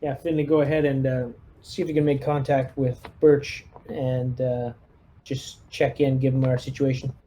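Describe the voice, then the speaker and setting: A man's voice: mid-range voice, level tone, moderate pace, mellow, a little hiss or hum. A man chatting casually as a player leading a squad in a tabletop game.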